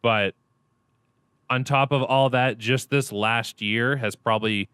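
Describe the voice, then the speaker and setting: A man's voice: medium pitch, takes it slowly, clear, resonant tone, no room sound, clean studio sound. A young adult man, a guest on a podcast, chatting casually in an upbeat, joking way. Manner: upbeat, jokey and animated. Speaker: podcast guest, streamer and actor. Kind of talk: casual interview chat.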